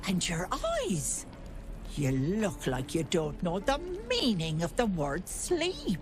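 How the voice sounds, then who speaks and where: Lilting, doting old auntie. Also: female voice, on the low side, very animated, takes it slowly, music in the background. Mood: fussing concern. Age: older. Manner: folksy, coddling, doting endearments. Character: kindly fussing old village healer auntie.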